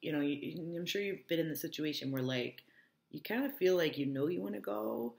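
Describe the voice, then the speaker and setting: A woman's voice: low-pitched, a little fast, creaky, darkish tone. A woman teacher reading a novel aloud and explaining it informally, often checking that listeners follow. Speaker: English teacher.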